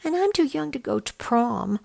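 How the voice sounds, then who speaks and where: A woman's voice: high voice, expressive, moderate pace, vocal fry, a little mellow, voice pushed up, exaggerated voice. A woman voicing a goofy, cheeky, hungry young boy in a children's storybook, flippant and exclamatory.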